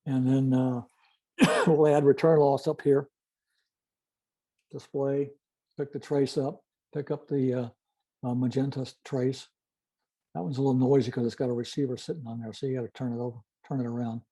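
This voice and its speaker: An older man giving an informal technical presentation and demonstration, explaining methodically in a halting way. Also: medium pitch, steady tone, dark tone. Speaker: club presenter, retired-style veteran telephony engineer.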